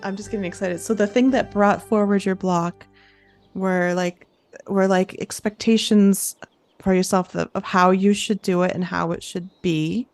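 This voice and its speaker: A woman with a creaky voice hosting a casual coaching chat, affirming and reflecting back what she hears. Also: medium pitch, fairly even intonation, normal speed, darkish tone, faint music behind. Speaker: host and creativity coach.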